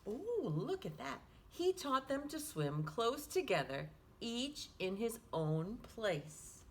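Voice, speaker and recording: A woman reads a picture book to young children as a storytime host. Her voice is highish and swoops in a sing-song way, taken slowly, with some background noise. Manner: animated, sing-song, with hushed asides to listeners.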